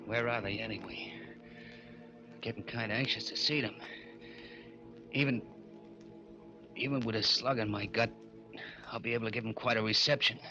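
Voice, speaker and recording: A man's voice: fairly low pitch, steady tone, normal speed, long pauses, dull audio, close to an everyday voice. An American actor playing a tough, brooding gangster trigger man telling his story in an old-time radio crime drama. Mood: brooding, cocky. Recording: old radio broadcast with music behind.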